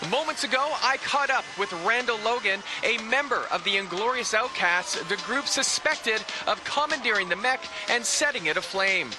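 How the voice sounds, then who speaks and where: A male actor playing an excited, urgent field reporter in a sci-fi video game news bulletin. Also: sits high, moderate pace, few pauses, over music. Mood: urgent, alarmed.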